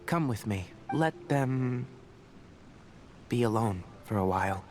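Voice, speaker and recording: A man playing a composed, pragmatic young scholar in an anime video game, measured and matter-of-fact. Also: medium pitch, animated, darkish tone. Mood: somber. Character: composed young male forest ranger scholar, pragmatic, quietly caring. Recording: game music behind the voice.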